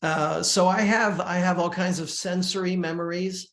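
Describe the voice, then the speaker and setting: A man's voice: sits high, fairly even intonation, a bit bright. Older man talking in an echoey room. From Uruguay. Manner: measured, reflective, with frequent restarts. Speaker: musician guest. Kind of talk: casual interview talk.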